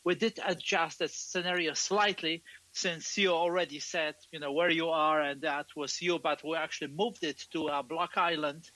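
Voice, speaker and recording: A man's voice: a little high, steady tone, moderate pace, bright, forceful tone, no room sound, poor audio. A man with a Polish accent, co-hosting an aviation chat, upbeat and chatty. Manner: upbeat, chatty, slightly non-native phrasing. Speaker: co-host, flying club founder. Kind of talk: casual radio chat.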